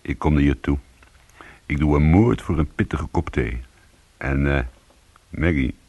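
Casting: streetwise, world-weary crook for radio noir, very raspy low voice, hard-boiled. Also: male voice, a little slow, a little mellow, no echo, heavily acted. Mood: teasing. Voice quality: husky, gravelly. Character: world-weary, streetwise male crook telling his own story. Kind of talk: radio crime drama, first-person narration and dialogue.

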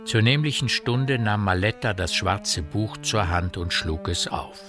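A low male voice of an actor narrating a radio play in measured, literary prose. He is sober and detached, articulating carefully over clearly audible music. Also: natural voice.

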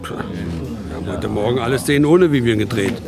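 An actor playing a gruff, matter-of-fact accomplice in a radio drama, impatient with his friend. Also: on the low side, big pitch swings, moderate pace, over music. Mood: worried, gruff. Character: gruff, practical male accomplice hiding a friend.

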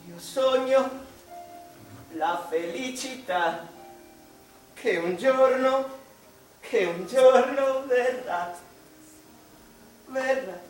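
An actor playing a tormented male mathematician confessing to his mother in a dramatic, deliberate radio drama monologue with repeated phrases and pauses. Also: very high voice. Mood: pleading, sorrowful. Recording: echoey, distant stage recording with heavy noise.

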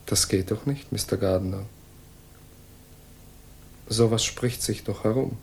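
An actor playing a menacing enforcer, courteous and reproachful with a quiet threat, in a 1960s radio crime drama. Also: male voice, lowish voice, takes it slowly, creaky, a little hiss or hum. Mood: polite menace. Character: menacing male enforcer, falsely polite and reproachful.

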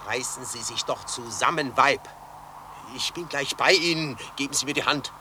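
A man's voice: mid-range voice, normal speed, bright, forceful tone, a little hiss or hum. An actor playing an intense, theatrical man with a melancholic streak in a German radio drama. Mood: urgent.

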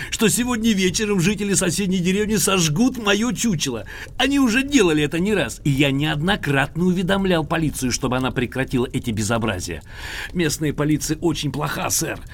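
An actor in an audio drama playing a cranky, boastful, litigious old English gentleman, emphatic, pompous and theatrical, with a creaky, husky voice. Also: on the high side, slight background noise, natural voice. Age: older.

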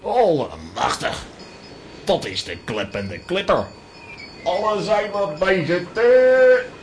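A man's voice: high-pitched, big pitch swings, slow, slight background noise, full character voice. A man playing a superstitious, easily panicked sea captain in a children's audio play, with alarmed exclamations. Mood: alarmed, fearful.

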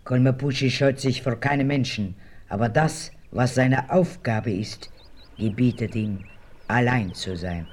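Casting: actor voicing a proud solemn warrior, husky, slow and measured. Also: male voice, mid-range voice, natural voice. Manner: solemn, speaks of self in third person. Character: proud disguised native warrior, secret mother. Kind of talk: 1970s radio play acting.

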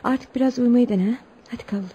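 Casting: woman as loving young heroine for radio theatre, steadfast, earnest, mellow fairly high voice. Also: very fast, natural voice. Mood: tender. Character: devoted, steadfast young girl in love. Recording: dull, faintly noisy radio recording.

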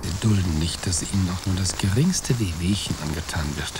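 Heavy rain noise surrounds a low male voice. An actor plays a grumbling, self-righteous schemer lying in wait in a satirical radio drama. He is pompous and sardonic, always ready to complain. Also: normal speed, natural voice. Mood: smug indignation. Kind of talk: satirical radio play dialogue. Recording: loud rain noise behind voice.

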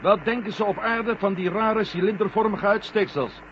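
A man's voice: high-pitched, fairly even intonation, moderate pace, natural voice. A man playing a firm, cautious astronaut commander in a vintage science fiction radio play. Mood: businesslike, firm. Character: male moon-mission astronaut commander, firm, cautious, sometimes confused. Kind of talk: 1970 Dutch science fiction radio drama acting. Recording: muffled, noisy old radio play recording.

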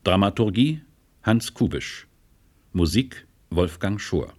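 A male radio announcer reads credits in a formal, scripted style. His voice is very deep and his pace is slow and deliberate. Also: lively intonation, darkish tone.

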